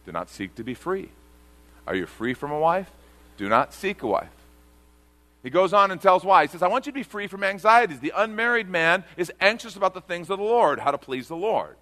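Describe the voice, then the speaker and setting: A man's voice: on the high side, pushed and bright. A man pastor preaching a sermon to a congregation, earnest and repeating key phrases for emphasis.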